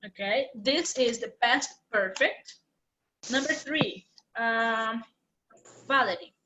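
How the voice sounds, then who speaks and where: A woman's voice: a little high, takes it slowly, sharp tone, lo-fi sound, a little hiss or hum. A woman teaching an English grammar class online, explaining patiently step by step.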